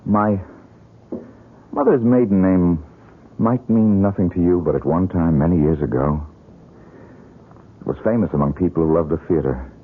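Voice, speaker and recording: A troubled young man, brooding and bitter, in an old radio mystery. His low, very husky voice moves in dramatic, halting swings on a muffled lo-fi recording.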